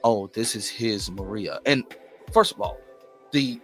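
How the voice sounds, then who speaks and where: A man's voice: lowish voice, measured pace, fairly bright tone. A man hosting an informal, unscripted movie review, speaking enthusiastically and emphatically and repeating phrases to stress his points. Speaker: movie reviewer and channel host. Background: background music.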